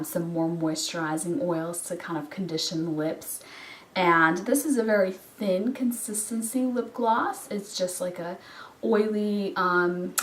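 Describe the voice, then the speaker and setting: A woman with a cold and a hoarse voice, chatting casually through a makeup haul review with frequent ums and restarts. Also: fairly low pitch. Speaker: beauty vlogger. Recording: roomy echo, from a distance, faint noise.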